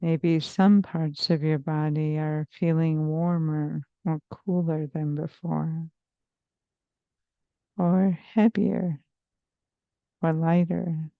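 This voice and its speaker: A woman therapist leading a guided meditation in a soothing, measured, hypnotic manner with long pauses, on slightly muffled audio. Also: sits low, level tone, gravelly, no echo. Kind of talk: slow guided meditation narration.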